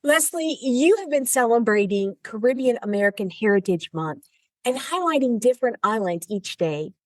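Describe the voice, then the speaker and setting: A woman's voice: a little high, lively intonation, normal speed, darkish tone, no room sound. A woman hosting a podcast, polished and formal as she introduces her guest and asks questions. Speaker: podcast host.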